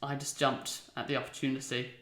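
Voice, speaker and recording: A man speaking in a measured way in a casual interview as an amateur actor. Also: mid-range voice, monotone, fairly bright tone. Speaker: amateur audio drama actor. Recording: echoey, roomy sound.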